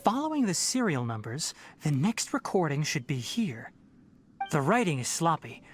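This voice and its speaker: A composed young scholar. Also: male voice, on the high side, big pitch swings, faint music behind. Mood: thoughtful. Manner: measured, composed, slightly dry. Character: calm young male scholar and forest ranger, composed. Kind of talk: game voice acting.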